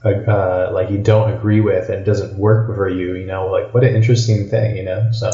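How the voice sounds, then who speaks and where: A man's voice: low voice, little pitch movement, normal speed, warm tone, echoey room, highs cut off. A man from Hawaii hosting a podcast, talking loosely in casual chat with many fillers and restarts. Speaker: podcast host.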